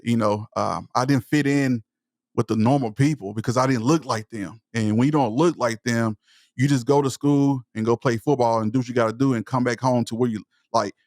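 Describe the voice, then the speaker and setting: A man's voice: medium pitch, no room sound, studio quality. A man in his thirties from East Texas with a Southern accent, speaking with conviction and passion as a podcast guest. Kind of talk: podcast interview.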